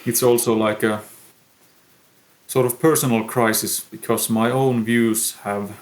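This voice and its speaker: Young finnish guy on a podcast, talks slow and flat, stops to think a lot. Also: medium pitch. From Finland. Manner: slow, thoughtful, pausing often. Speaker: musician guest. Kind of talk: podcast interview.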